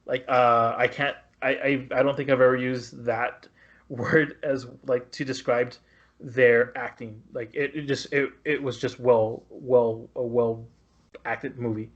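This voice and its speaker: Halting man with mid-pitched voice, lo-fi audio. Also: level tone. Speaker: podcast co-host. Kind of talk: casual film review chat. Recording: dull, lo-fi podcast audio.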